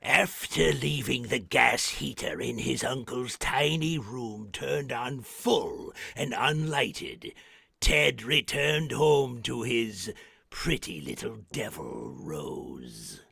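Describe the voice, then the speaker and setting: A man playing a sinister horror-show host, narrating theatrically in 1940s radio style with a gravelly voice. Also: mid-range voice, lively intonation, sharp tone, full character voice. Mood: sly, ominous. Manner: slow, ominous, theatrical.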